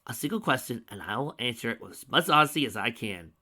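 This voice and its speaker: A man's voice: medium pitch, animated, fast, bright, forceful tone. A man voicing a manic, bloodthirsty male alicorn villain who cackles and sneers. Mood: mocking.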